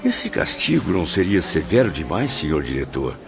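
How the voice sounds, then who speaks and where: Troubled protesting pupil, Brazilian accent, low voice, swooping intonation, formal radio drama. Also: male voice, big pitch swings, moderate pace, gravelly, exaggerated voice. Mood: troubled, doubting. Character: troubled, questioning male newcomer to the spirit world. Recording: dull lo-fi audio under music.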